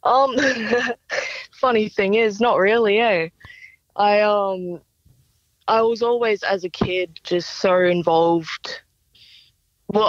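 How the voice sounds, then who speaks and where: Slow-speaking young Australian woman, slightly dull sound. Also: lowish voice, no echo. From Brisbane, Australia. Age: young adult. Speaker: singer-songwriter, interview guest. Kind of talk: casual interview answers.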